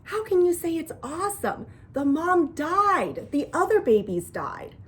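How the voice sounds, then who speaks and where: A woman voicing a bossy, sharp-tongued girl, an older sister who exclaims indignantly in a children's storybook. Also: mid-range voice, sing-song, a little slow, slightly rough, exaggerated voice.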